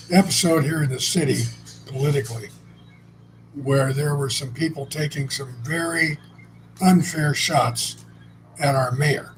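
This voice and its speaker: A man's voice: medium pitch, noisy background. A man co-hosting a casual chat, telling stories in a blunt, salty, rambling way with frequent restarts. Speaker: co-host. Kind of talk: casual conversational storytelling.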